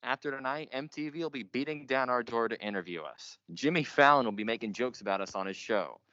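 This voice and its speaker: A young man playing a grandiose, obsessive radio announcer, theatrical and boastful in a high school radio play. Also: lively intonation, brisk, pushed and bright, dry recording. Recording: dull lo-fi recording.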